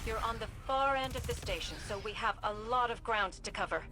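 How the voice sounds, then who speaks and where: A woman playing a brisk, commanding mission handler who gives crisp directions in a sci-fi video game. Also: on the high side, music in the background, natural voice. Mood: focused.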